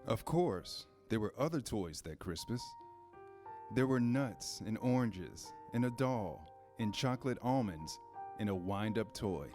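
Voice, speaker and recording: A man narrating a children's story from a script in a measured, deliberate way. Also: on the low side, lively intonation. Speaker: storybook narrator in a reader's theater. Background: instrumental music.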